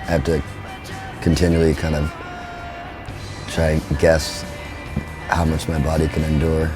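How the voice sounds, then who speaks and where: A young man, a band's frontman, talking in a relaxed, rambling way with lots of fillers. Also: rumbling low, measured pace, over music. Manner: laid-back, rambling, with frequent fillers. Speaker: band frontman. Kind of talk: relaxed interview talk.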